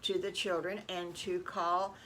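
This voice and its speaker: A woman voicing a firm, patient mother pig in a children's story, calmly giving instructions. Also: on the low side, a little slow, a little hiss or hum, poor audio.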